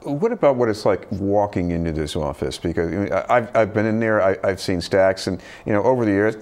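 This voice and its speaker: An older man interviewing a guest in a TV studio, halting and conversational, often repeating words, recorded on a lapel mic. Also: on the low side, lively intonation, darkish tone. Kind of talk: news interview questions. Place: TV news studio.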